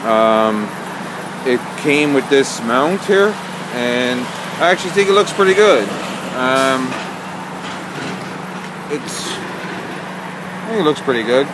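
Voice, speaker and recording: A man giving a casual, unscripted walkthrough as an amateur vlogger, speaking haltingly with frequent pauses. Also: mid-range voice, animated. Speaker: amateur vlogger showing an installation. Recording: clear car and outdoor background noise.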